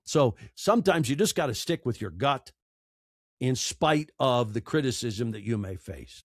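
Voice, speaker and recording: Guy guest on a podcast, really animated and emphatic, dry recording, talks like he's giving advice. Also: medium pitch, unhurried, slightly rough, no echo. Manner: emphatic, repeats phrases for effect. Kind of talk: conversational advice in an interview.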